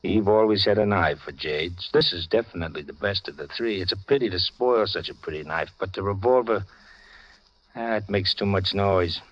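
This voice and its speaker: A man's voice: low-pitched, normal speed, dry recording. An actor playing a menacing, mocking gangster killer in a 1940s radio crime drama, with slangy tough-guy talk. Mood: cold menace. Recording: dull vintage radio recording.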